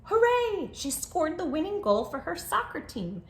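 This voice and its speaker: A woman's voice: very high voice, big pitch swings, a little slow. A woman hosting a children's storytime, reading aloud expressively with dramatic emphasis and speaking directly to young listeners. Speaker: children's book read-aloud host. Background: music.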